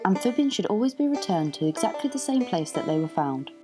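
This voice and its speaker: A woman's voice: mid-range voice, very animated, brisk. A young woman reading a scripted instructional narration for a training film. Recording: voice-over under loud guitar music. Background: plucked guitar music.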